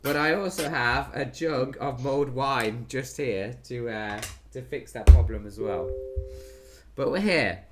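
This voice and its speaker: A British man with a hoarse, worn voice, chatting casually as the host of a live stream. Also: mid-range voice, measured pace, over music. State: hoarse from losing his voice.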